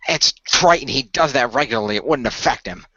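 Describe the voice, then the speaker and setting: A man voicing an earnest, tactical hero in an audio roleplay, thinking his plans out loud, on a slightly dull microphone. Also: mid-range voice, lively intonation, brisk, no room sound. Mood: alarmed. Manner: earnest, planning aloud. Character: earnest, tactical young male hero with battle rings.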